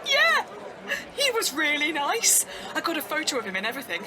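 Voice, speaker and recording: A woman acting an earnest, self-deprecating young woman in an audio drama, with an excitable, gushing manner. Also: high voice, brisk, crowd noise. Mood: thrilled.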